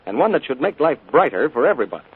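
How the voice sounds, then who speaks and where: A man announcing on an old radio show, polished and cheerful, with a husky voice, on a tinny broadcast. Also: medium pitch, very animated, racing. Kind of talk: scripted announcing and commercial patter.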